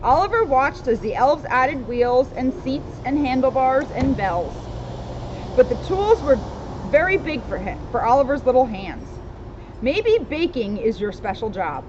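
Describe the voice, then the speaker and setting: High-pitched lively woman, noisy outdoor recording. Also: measured pace. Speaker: police officer reading a children's story aloud. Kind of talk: storybook read-aloud with casual asides. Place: outdoors in a town street. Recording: dull outdoor recording with loud street noise.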